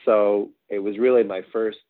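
A man's voice: lowish voice, steady tone, normal speed, dry recording. A man from Quebec, a songwriter, chatting casually in an interview, hesitant and rambling with many fillers. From Quebec, Canada. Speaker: songwriter guest. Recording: tinny phone-like line, dry.

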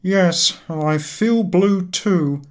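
A man voicing an aggrieved, self-pitying invalid gentleman in a comic audiobook, complaining in a plaintive, touchy way. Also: high voice, very slow, voice pushed up. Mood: glum. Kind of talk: audiobook character voice, comic Victorian novel.

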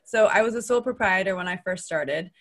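A woman's voice: medium pitch, brisk. An American woman hosting a casual podcast conversation, chatty and talking from her own experience with frequent restarts. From United States. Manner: chatty, with many restarts and asides. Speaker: podcast host.

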